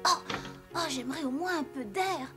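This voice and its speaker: A woman's voice: high-pitched, moderate pace, close to an everyday voice. A woman voicing an innocent, earnest little mountain girl in a French-dubbed cartoon. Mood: homesick. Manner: wide-eyed, earnest, artless. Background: music.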